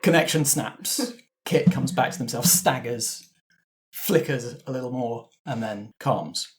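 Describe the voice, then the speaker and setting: A man's voice: mid-range voice, unhurried. A man working as game master, narrating a tabletop roleplaying game. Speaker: game master of an actual-play podcast.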